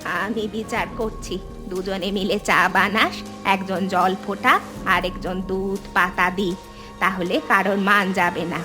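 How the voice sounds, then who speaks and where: Woman voicing a measured elderly village grandmother, music behind. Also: mid-range voice, little pitch movement, natural voice. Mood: chiding. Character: elderly village mother and grandmother, patient, wise peacemaker. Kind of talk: animated family drama dialogue.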